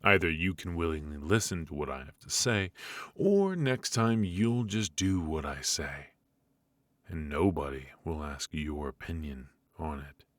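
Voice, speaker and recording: A man playing a suave, menacing mafia boss in a noir ASMR roleplay, measured and mock-courteous, with a husky voice. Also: very deep voice, expressive, dry recording. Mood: smug, menacing. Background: faint music.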